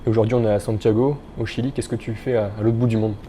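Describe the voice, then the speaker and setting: A young man interviews a guest in a casual way. He talks very quickly in a mellow, dark-toned voice, with faint background noise behind him. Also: on the low side, flat delivery. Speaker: interviewer, host.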